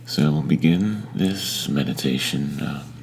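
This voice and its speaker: A man leading a guided meditation in a gentle, unhurried manner with long pauses between phrases. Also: a bass voice, creaky, a little hiss or hum. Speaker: meditation teacher.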